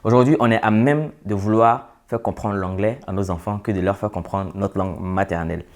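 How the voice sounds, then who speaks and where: A man speaks in a fairly low voice. He delivers an earnest, advising vlog monologue and pauses on hesitant fillers. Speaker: vlogger. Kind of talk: opinion monologue to camera.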